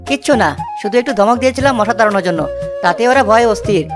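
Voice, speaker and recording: Woman playing a fast-talking, cheeky village lad. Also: fairly high pitch, expressive, close to an everyday voice. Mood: cheerful, boastful. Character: lazy, cheeky, good-hearted village young man. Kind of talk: children's cartoon voice acting. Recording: loud music under the voice. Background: loud music.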